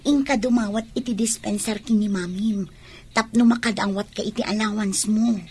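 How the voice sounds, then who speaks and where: A woman playing a demanding, sulky young student in an Ilocano radio drama, with a husky voice. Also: mid-range voice, steady tone, normal speed, a little mellow. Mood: complaining. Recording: music bed under dialogue.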